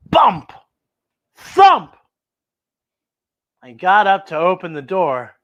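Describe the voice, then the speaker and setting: A woman hosting children's story time, reading a picture book aloud and exclaiming directly to young viewers. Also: medium pitch.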